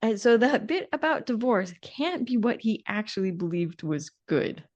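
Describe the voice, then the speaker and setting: A woman's voice: medium pitch, very animated, moderate pace, a little mellow, lo-fi sound. A woman co-hosting a podcast, talking casually and informally with a sardonic, opinionated edge. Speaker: podcast co-host. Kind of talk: casual conversational discussion.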